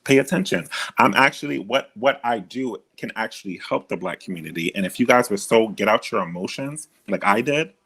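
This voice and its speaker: A man's voice: fairly low pitch, animated, brisk, few pauses, a bit bright, breathy edge. A man with a feminine-sounding voice hosting a heated live debate, often cutting in and repeating himself to take the floor. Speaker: host.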